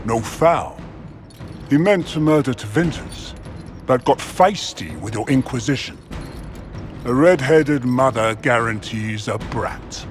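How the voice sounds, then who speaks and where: A man's voice: mid-range voice, animated, slow, soft background music. A man voicing a brash older barbarian clan chief in a video game, blunt, mocking and unrepentant. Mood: gruff amusement. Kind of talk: video game cutscene acting.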